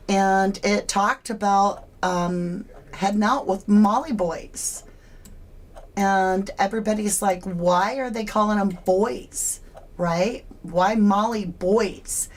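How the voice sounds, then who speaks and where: A chatty woman hosts a true crime live stream in a casual, folksy way, throwing in asides and calling out viewers by name. Her voice sits at an everyday middle pitch for a woman and comes through a home desk microphone. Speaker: true crime livestream host.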